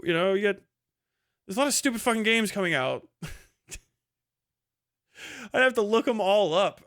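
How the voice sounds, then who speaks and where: A man's voice: fairly high pitch, bright, forceful tone, clean tone. A man giving casual, rambling livestream commentary, talking bluntly with lots of swearing and slang. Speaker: livestream host reacting to a video.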